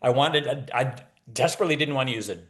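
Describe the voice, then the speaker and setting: Guy author on a podcast, average pitch, wry, explains stuff point by point, chatty. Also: fast. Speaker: novelist, podcast guest. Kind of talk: casual interview talk.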